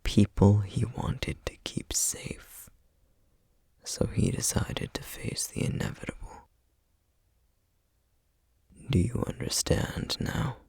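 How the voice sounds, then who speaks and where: Male actor as solemn demon man, slow measured delivery, heavy gravel, breathy whisper, guarded, protective, ASMR roleplay. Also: lowish voice, a little mellow, dry recording, heavily acted. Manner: slow, measured, formal, hesitant.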